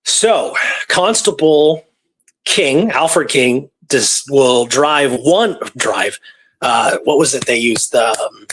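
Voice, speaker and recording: A man co-hosting a radio show, telling a historical story informally, with halting delivery and phrases repeated for emphasis. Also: medium pitch, lively intonation, a bit bright, husky, poor audio.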